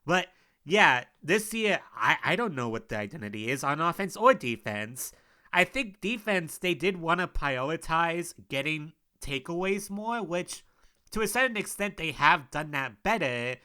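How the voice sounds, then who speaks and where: A man's voice: a little high, sing-song, a little slow, sharp tone, smooth. A young man with a cold, sounding sniffly, talking casually and frustratedly about his struggling football team in a rambling solo monologue. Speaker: sports podcaster.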